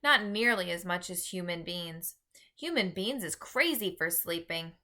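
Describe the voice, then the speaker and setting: A woman voicing an earnest, easily exasperated gentle male giant in a children's storybook, speaking emphatically in folksy broken grammar with invented words. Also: fairly low pitch, expressive, a little slow. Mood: earnest lecturing. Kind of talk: read-aloud children's storybook.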